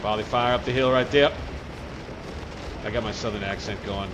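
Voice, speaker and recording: A man hosting a casual multiplayer gameplay session, relaxed and joking, often muttering quietly to himself. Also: lowish voice, normal speed. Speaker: channel host. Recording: noisy game audio behind.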